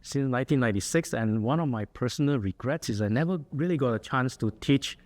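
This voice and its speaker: A man's voice: mid-range voice, lively intonation, fairly quick, darkish tone. A middle-aged man from Singapore with a Singaporean accent, an associate dean giving an earnest talk and answering questions in a lecture room. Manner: earnest, with frequent fillers and restarts.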